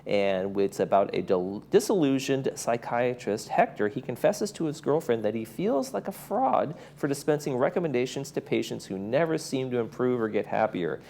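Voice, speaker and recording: A man hosts a TV program in a casual presenting style. His voice has plenty of fry, and there is faint noise behind him. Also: mid-range voice, very animated, normal speed, few pauses, mellow. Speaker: TV show host. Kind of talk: casual presenting, reading a film synopsis.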